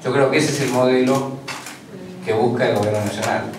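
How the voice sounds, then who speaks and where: Man, opposition politician, deliberate delivery, distant echoey room. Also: mid-range voice, fairly even intonation. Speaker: opposition deputy. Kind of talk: press conference answer. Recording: echoey hall, far from the mic, noisy.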